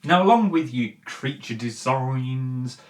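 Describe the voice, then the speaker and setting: A man's voice: mid-range voice, lively intonation, takes it slowly. A man hosting an opinionated commentary show, talking conversationally and emphatically in an informal, mildly sweary way. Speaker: host of a film and games commentary show. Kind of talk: opinionated video essay talk, conversational.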